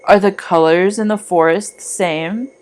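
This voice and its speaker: Slow-spoken woman guiding a meditation. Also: medium pitch, steady tone, clear, resonant tone. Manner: soothing, deliberate, gently instructive. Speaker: meditation guide, art teacher. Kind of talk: guided meditation narration, scripted.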